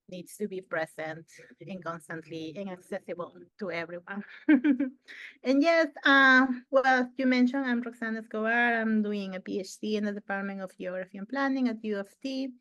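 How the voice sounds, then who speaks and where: A woman from Peru in her thirties, a guest researcher answering interview questions thoughtfully, with occasional laughs and throat clearing. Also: medium pitch, a bit bright, no echo.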